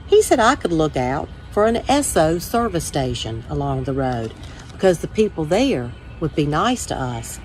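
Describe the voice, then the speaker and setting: A woman's voice: sits low, big pitch swings, normal speed, faint music behind, rough recording. A woman reading a children's storybook aloud as a first-person narration. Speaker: story time reader.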